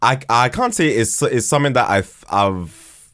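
A man in casual podcast chat, halting and repeating words as he searches for them. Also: medium pitch, big pitch swings, fairly bright tone. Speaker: podcast speaker.

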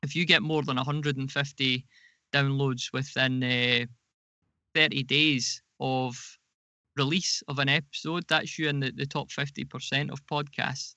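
A man's voice: mid-range voice, flat delivery, unhurried, sharp tone, dry recording, muffled recording. A man speaking as a podcast guest in an interview, explaining things conversationally, often repeating words and correcting himself.

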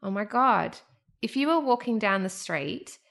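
A woman's voice: medium pitch, lively intonation, measured pace, vocal fry, a bit bright. A woman hosting a podcast, telling a personal story in a candid, emotional way. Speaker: podcast host. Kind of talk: casual chat.

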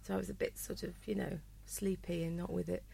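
A woman's voice: lowish voice, level tone, a little fast, creaky, noisy background. A woman from Wales, her accent mostly worn away, a chatty, self-deprecating guest on a podcast. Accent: faint Welsh, mostly lost. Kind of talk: casual podcast chat.

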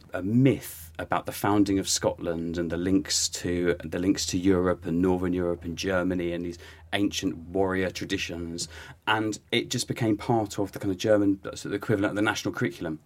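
A man, a lecturer, explaining as a guest expert in a relaxed way with frequent restarts. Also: low voice, a little fast. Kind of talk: casual expert chat.

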